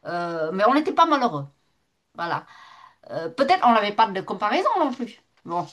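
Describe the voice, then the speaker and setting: A woman's voice: medium pitch, sing-song, normal speed, a bit bright. A retired woman from northern Vietnam, speaking French with a Vietnamese accent, who talks as a guest in an online debate, with many fillers and restarts. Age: older.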